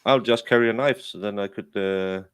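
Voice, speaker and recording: A man's voice: fairly low pitch, moderate pace, a bit bright, smooth, no echo. A man from Denmark, chatting on a casual stream and telling rambling stories full of restarts and fillers. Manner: rambling storyteller with many restarts.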